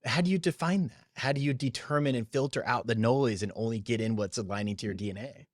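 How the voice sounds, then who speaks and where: Male interviewer, average pitch, relaxed conversational wording, curious follow-ups, very little room sound. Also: fast, no room sound, clean studio sound. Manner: informal, curious, asks follow-up questions. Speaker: podcast host. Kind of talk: casual chat, interviewing.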